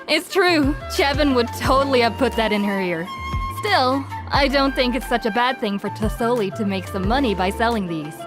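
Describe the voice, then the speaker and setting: A woman's voice: fairly high pitch, lively intonation, normal speed, close to an everyday voice. An actress playing a kindly, forgetful woman villager in a video game, with a hesitant manner. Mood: kindly, chatty. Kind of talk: game character dialogue. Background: game music.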